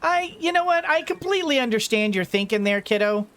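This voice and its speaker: A woman's voice: sits high, expressive, brisk, a bit bright. A middle-aged woman giving casual gameplay commentary, rambling, talking to herself and exclaiming playfully. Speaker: let's-play host.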